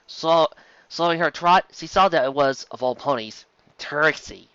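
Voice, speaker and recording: A narrator reading fan fiction aloud in an expressive, animated way, occasionally stumbling over the words. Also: mid-range voice, a little slow, smooth, no echo, muffled recording. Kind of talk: expressive scripted story narration.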